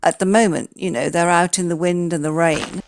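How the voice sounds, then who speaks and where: A woman with an English accent, chatting in an unhurried, dry way during a building game. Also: fairly low pitch, steady tone. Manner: dry, unhurried, plain-spoken. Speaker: gaming channel host. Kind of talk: casual gaming chat.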